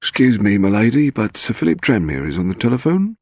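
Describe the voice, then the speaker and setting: A man's voice: lowish voice, very animated, fairly quick, a little mellow, dry recording, clean studio sound, voice pushed up. A man voicing a deferential, formal English butler in an audiobook mystery. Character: deferential formal male butler at country house.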